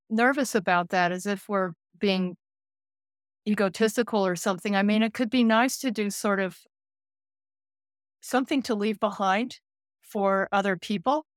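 A woman in her late sixties, a care manager and counselor, speaking gently and thoughtfully in an interview, often pausing to find her words. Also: medium pitch, no echo, studio quality. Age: 60s. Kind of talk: reflective interview conversation.

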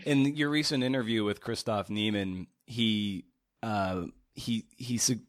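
Halting, lowish-voiced man in a dry room. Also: no room sound. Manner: halting, circles around his questions. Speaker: podcast interviewer, host. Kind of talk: casual interview, long questions.

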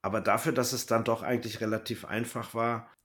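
A man's voice: mid-range voice, steady tone, a little fast, fairly bright tone. A man chatting casually as a podcast co-host, in a hesitant, rambling way that often trails off mid-thought. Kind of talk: casual podcast chat reviewing an audio drama.

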